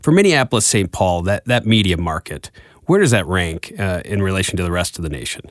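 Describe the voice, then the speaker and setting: Male podcast host; lively pitch; rambling questions; dry, close mic. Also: fairly quick, dry recording. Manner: rambling, searching questions with fillers and restarts. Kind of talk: interview questions.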